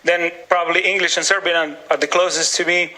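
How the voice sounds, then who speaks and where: A man from Slovenia, a stand-up comedian talking on a link from a small radio studio, easygoing and deadpan. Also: a little high, fast, poor audio. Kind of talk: interview over a studio link.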